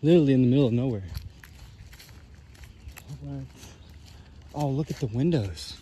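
Young man, mellow relaxed tone, slangy casual vlog commentary, handheld mic, outdoors, faint nature noise. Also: mid-range voice, normal speed. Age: young adult. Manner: laid-back, slangy. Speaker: vlogger. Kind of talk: casual off-the-cuff walking commentary. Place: outdoors on a wooded trail. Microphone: handheld phone or camera at arm's length.

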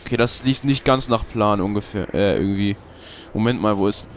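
A man's voice: fairly low pitch, fairly quick, slight background noise. A young man, giving casual gameplay commentary, swearing and ranting when he loses. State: has a cold. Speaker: let's play host.

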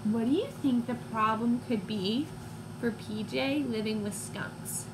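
A woman in her twenties reading a picture book to young children, expressive and teacherly, pausing to put questions to listeners. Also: a little high. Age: 20s. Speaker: read-aloud storyteller for young children. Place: small room at home, indoors. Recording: clear background noise, home recording.

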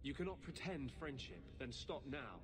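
An actor playing an intense, secretive rebel mage in a video game, speaking in a grave, earnest, insistent way. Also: male voice, mid-range voice, expressive, a little slow, lightly acted. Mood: insistent, fervent. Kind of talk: dramatic video game acting. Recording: game audio with clear background ambience.

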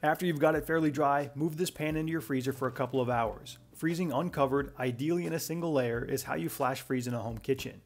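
A man in his twenties narrating a cooking tutorial as a voice-over, brisk and matter-of-fact with dry humor. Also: mid-range voice. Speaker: cooking channel host.

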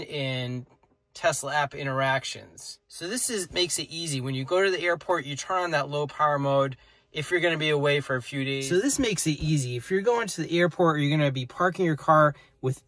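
A man's voice: on the high side, a bit bright, dry recording. A middle-aged man explaining car features in a casual vlog from inside a parked car, filming on a phone held at arm's length. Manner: plain, matter-of-fact explaining. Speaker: car tech vlogger.

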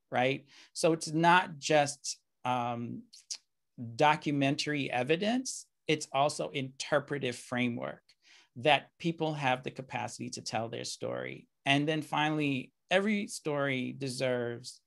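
A middle-aged man, his voice gravelly with a touch of fry, speaking at an ordinary middle pitch. He lectures in a measured, reflective way, pausing on "um" and "you know". He is heard through a webcam on a video call. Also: fairly bright tone. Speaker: keynote speaker. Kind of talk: lecture over slides. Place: indoor room, video call.

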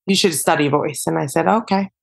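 A young woman with a somewhat low voice talks casually as a podcast guest. She rambles in a relaxed way, peppering her sentences with "like" and "you know". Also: brisk, gravelly. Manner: chatty, many fillers like "like" and "you know". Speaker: podcast guest, singer-songwriter. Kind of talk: casual interview chat.

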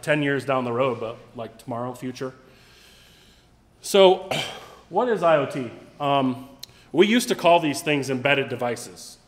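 A man giving a casual, blunt conference talk, salty and joking, heard through a room PA in a large hall. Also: mid-range voice. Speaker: conference speaker.

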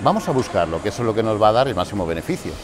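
A man's voice: fairly low pitch, sing-song, racing. A man in his forties, a fitness coach, explaining leg exercises step by step in a large indoor gym on a lapel mic, often checking in with his listeners. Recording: music playing clearly behind.

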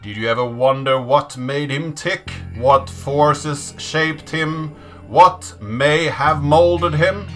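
A man in his thirties presenting in a deliberate, measured way, as in a dramatic reading. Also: mid-range voice, pushed and bright. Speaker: host of a programming channel. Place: small indoor room at a desk.

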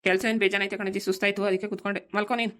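A woman's voice: medium pitch, little pitch movement, racing, a bit bright, natural voice. A woman playing an easygoing young village son in a cartoon family story, pleading and full of excuses. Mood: evasive, pleading.

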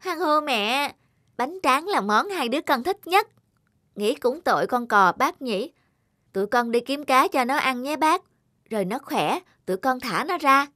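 Woman as very high-pitched eager little boy. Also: measured pace, dry recording, studio quality, full character voice. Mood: cheerful, eager. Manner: childlike, pleading, excitable. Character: eager, carefree young boy at play. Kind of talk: cartoon voice acting. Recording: loud children's music behind the voice.

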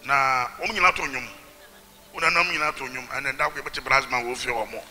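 A man's voice: medium pitch, quick pace, pushed and bright, soft background music. A middle-aged man, hosting a formal, celebratory church interview on stage with a handheld mic. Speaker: host, interviewer.

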